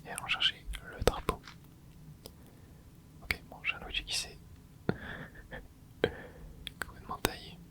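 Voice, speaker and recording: A man hosting an ASMR channel, whispering soft, casual commentary to himself as he plays a video game, full of gamer slang. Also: measured pace, pauses often. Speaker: ASMR gaming channel host.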